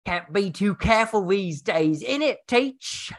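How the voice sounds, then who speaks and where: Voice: medium pitch, expressive, measured pace, a little hiss or hum, voice pushed up. A performer voicing a cheeky, blunt young Dickensian street urchin with a Cockney British accent in a radio drama, full of slang.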